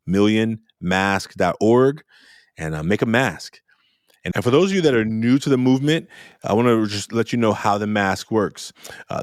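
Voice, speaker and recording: Podcast host, man, middle-aged, low voice, friendly and informal, dry close recording. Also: no echo. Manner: friendly, repeats himself while practicing a name. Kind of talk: casual podcast interview.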